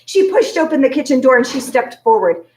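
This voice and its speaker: A woman reading a children's book aloud in an expressive storytelling style. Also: high voice, a little fast. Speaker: story reader. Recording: roomy echo, distant from mic.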